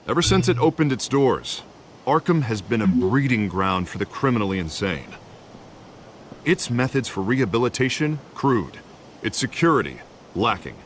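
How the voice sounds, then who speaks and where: A man's voice: medium pitch, big pitch swings, moderate pace, slight background noise. An American man playing a confident, folksy city politician in a video game, with a polished public-speaking manner. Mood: assured, rousing. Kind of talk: video game dramatic acting.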